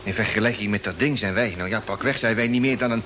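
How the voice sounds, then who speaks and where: A man's voice: fast, bright, forceful tone. An actor playing a bored, doubtful expedition crewman in an old Dutch radio play, grumbling colloquially and hesitantly. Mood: sceptical, uneasy. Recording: noisy old radio recording, vehicle rumble.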